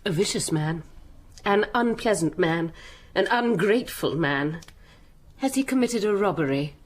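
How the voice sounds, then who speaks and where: A disdainful upper-class English lady in a period drama. She drawls very slowly with lively, animated pitch. Faint noise sits behind her spiteful delivery. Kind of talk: period radio drama acting.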